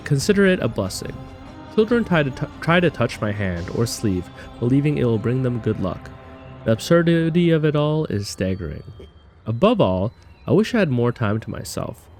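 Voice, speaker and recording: A man's voice: medium pitch, a little mellow. Man reading as a formal, theatrical war general. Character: proud war general reading out lofty letters. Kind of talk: dramatic reading of in-game letters.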